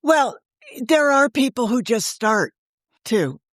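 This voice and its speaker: Woman, about seventy, chatty podcast guest, slightly high voice, dry recording. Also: sing-song, slow pace, dry recording. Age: around 70. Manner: chatty, talks over the host. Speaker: novelist and podcast creator, guest. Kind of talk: casual interview chat.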